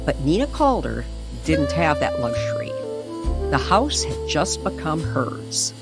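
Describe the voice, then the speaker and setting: A woman narrating a mysterious story in old-time radio style, deliberate and suspenseful, with dramatic pauses. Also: low-pitched, big pitch swings. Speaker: co-narrator of an audio story series. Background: music under the voice.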